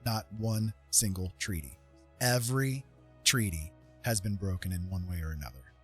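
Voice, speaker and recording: A middle-aged American man chatting reflectively on a livestream, speaking earnestly and emphatically with halting pauses. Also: low voice, a bit bright, faint music behind. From Indiana, United States. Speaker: livestream host. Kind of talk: casual reflective livestream chat.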